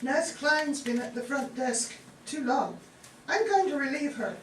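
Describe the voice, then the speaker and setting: A woman's voice: sits high, expressive, unhurried, a little hiss or hum. A woman playing a helpful, slightly nervous nurse in an amateur staged radio play. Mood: eager, nervous. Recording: echoey, distant stage.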